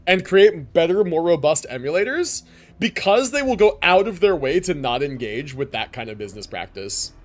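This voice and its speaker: A man's voice: on the high side, very animated, normal speed. A young man livestreaming a game, chatting casually and irreverently with swearing as he answers his chat. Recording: game music behind the voice.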